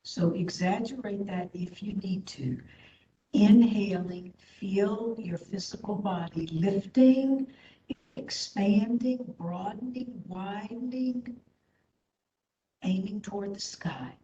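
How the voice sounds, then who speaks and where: Female meditation instructor, very slow and soothing, low-pitched, recorded in an echoey room with slightly dull sound. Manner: soothing, unhurried, gentle. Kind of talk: guided meditation.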